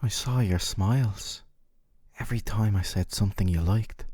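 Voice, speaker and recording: Guy playing a smug clingy yandere boyfriend, gentle and coaxing, mellow voice, super close dry mic. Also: fairly low pitch, moderate pace, breathy edge, no echo. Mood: adoring, smug. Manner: soft, coaxing, articulate, teasing tag questions. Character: possessive, gentle yandere dating-sim boyfriend, doting and smug. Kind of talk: scripted ASMR roleplay monologue to the listener.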